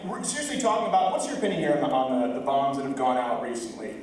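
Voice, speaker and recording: A man's voice: mid-range voice, big pitch swings, racing. A man hosting a live comedy show, sarcastically joking through news items to an audience. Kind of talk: live stand-up style news riffing. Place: live venue stage before an audience. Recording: echoey hall from far off with loud crowd.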